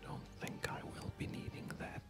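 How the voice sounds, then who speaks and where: A man doing relaxed, chatty ASMR game commentary in a soft, breathy whisper. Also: moderate pace. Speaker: host of an ASMR gaming channel. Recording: background game music. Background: loud game music.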